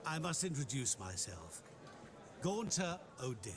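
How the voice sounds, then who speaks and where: An actor playing a sly, all-knowing mysterious male stranger in a game cutscene, talking in a smooth, theatrical, mocking way. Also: medium pitch, very animated, a little slow, a crowd in the background. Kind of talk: video game cutscene acting.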